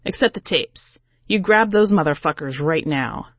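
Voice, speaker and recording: A woman's voice: sits low, lively intonation, moderate pace, dry recording, muffled recording. A woman voicing a brash, bossy, foul-mouthed city musician in an audio short story. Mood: blunt, commanding. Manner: blunt, bossy, colloquial.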